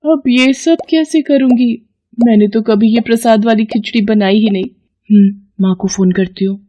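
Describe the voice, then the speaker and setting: Woman acting young daughter-in-law, plaintive, raised pitch. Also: fairly even intonation, normal speed, dark tone, dull audio, lightly acted. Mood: worried.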